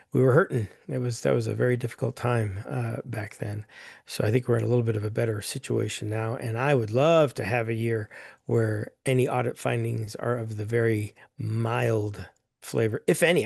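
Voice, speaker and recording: A hoarse man chairing a board meeting, talking plainly with many fillers and restarts. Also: mid-range voice, darkish tone, no room sound, studio quality. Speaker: governing council chair.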